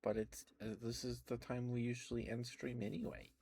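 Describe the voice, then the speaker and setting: A man speaking softly and breathily as an ASMR streamer, close to the microphone, murmuring gentle affirmations to his viewers. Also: medium pitch, flat delivery, very noisy. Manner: soft, breathy whispering with slow, repeated affirmations. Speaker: ASMR livestreamer. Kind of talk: ASMR, intimate rambling to viewers.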